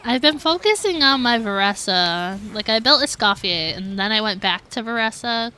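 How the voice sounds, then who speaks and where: A woman's voice: on the high side, animated, normal speed, bright, forceful tone, smooth. A woman livestreaming a game, chatting casually and informally in a giggly, self-deprecating way. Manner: informal, peppers speech with "like". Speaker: game streamer, host. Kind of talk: casual livestream chat while playing a game.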